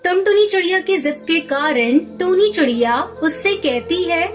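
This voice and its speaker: A woman's voice: very high voice, expressive, normal speed. A woman narrating a scripted children's cartoon story. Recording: music under the voice.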